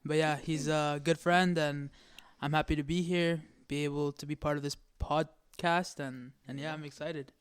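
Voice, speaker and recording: A man's voice: fairly high pitch, fairly even intonation, moderate pace, few pauses, smooth, no echo, studio quality. A man in his early twenties chatting casually as a guest on a podcast. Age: early 20s. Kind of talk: casual chat.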